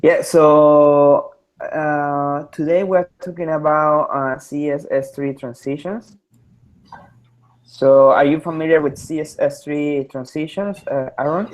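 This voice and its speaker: A man's voice: a little high, fairly even intonation, slow, lo-fi sound. A man, presenting to an online study group, hesitant and full of filler sounds. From Mexico. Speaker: student presenter. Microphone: video call.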